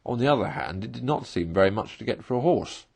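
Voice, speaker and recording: A man reading a classic story aloud as narrator, in an expressive storytelling style. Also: medium pitch, fast talker, creaky, husky.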